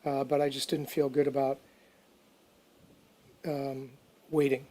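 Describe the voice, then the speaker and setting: Male DIY narrator, mid-pitched and very flat in intonation, informal and off the cuff. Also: takes it slowly. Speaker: DIY restoration video narrator. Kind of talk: casual how-to narration.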